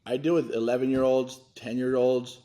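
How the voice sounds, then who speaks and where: A man in his forties from Hawaii talks over a video call, earnest and halting. His voice sits at an ordinary middle pitch, and he often restarts his sentences as he gives his story. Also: little pitch movement, mellow. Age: 40s. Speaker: nonprofit foundation director, guest. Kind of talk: candid interview talk.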